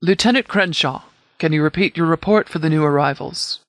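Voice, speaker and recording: A man playing a composed, pragmatic military commander in a video game, speaking in a formal, measured, tactical way. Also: fairly high pitch, a bit bright, no room sound, natural voice. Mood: firm, measured. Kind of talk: video game dialogue acting.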